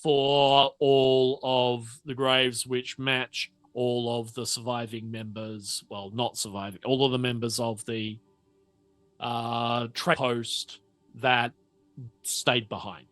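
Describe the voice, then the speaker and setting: A man running a tabletop roleplaying game as game master, narrating off the cuff and often halting to think mid-sentence. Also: mid-range voice, level tone, fairly bright tone, no echo, faint music behind.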